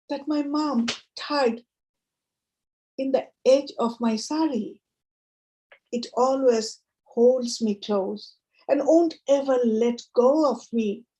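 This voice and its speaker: A woman poet with a Bengali accent gives a reading in a rather high, soft-edged and mellow voice. She speaks in short, measured phrases, moving between verse and plain introductions for her listeners. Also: low-quality recording. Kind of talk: poetry reading with spoken introductions to an audience.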